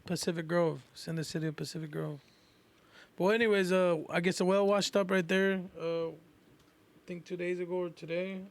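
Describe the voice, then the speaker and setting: A man's voice: sits high, fairly even intonation, moderate pace. A man. He is laid-back and slangy, chatting casually as a podcast co-host.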